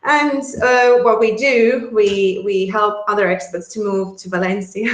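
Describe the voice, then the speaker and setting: A woman's voice: mid-range voice, steady tone, measured pace. Latvian lady chatting in an interview, laughs a lot, trips over her words, roomy echo. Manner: chatty, laughs often, many restarts. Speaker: guest, relocation service founder. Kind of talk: casual interview chat.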